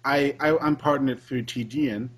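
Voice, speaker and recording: A man's voice: mid-range voice, steady tone, moderate pace, slightly rough. A man who is a guest on a casual podcast, explaining things and often restarting his sentences. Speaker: guest Let's Player.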